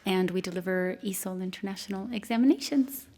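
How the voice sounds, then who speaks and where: A woman's voice: mid-range voice, a little fast, darkish tone. A woman from Malta talking informally as a participant on a panel, representing her institution.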